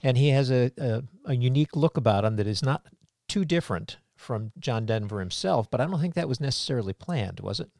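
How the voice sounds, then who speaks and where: A man interviewing a musician in relaxed conversation, asking leading questions. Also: medium pitch, brisk, gravelly, no room sound, clean studio sound. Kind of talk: casual interview chat.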